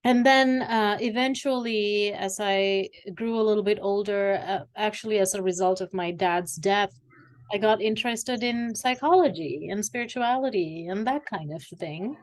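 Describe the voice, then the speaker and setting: A woman in her forties, originally from Sri Lanka, speaks as an interview guest. Her voice sits at a middle pitch, and she tells her story in a reflective, searching way, pausing often on fillers. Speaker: guest, executive coach. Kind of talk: conversational interview.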